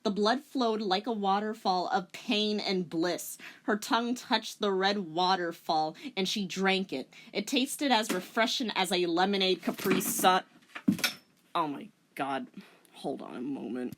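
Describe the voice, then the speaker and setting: A woman's voice: medium pitch, a little slow, noisy background. A woman hosting a comedic dramatic reading as a voice-over, narrating theatrically and breaking into informal, incredulous commentary. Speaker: host, story reader. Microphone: recorded voice-over. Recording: music under the voice.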